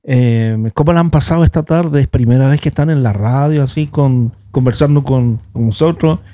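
A man's voice: mid-range voice, normal speed, warm tone. A man hosting a radio show, interviewing guests conversationally at a studio microphone. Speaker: radio host.

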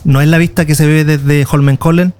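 A man's voice: a little high, level tone, a little mellow, soft background music. Chilean man, chatty tour guide. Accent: Chilean Spanish. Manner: chatty, restarts often, draws out pauses. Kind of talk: casual conversation.

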